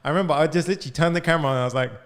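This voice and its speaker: Young male coding coach, energetic, studio mic, chatty. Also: on the high side. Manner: energetic, fast, full of "like" and "right?". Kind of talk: live coaching call. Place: home studio room. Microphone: studio mic on boom arm, headphones.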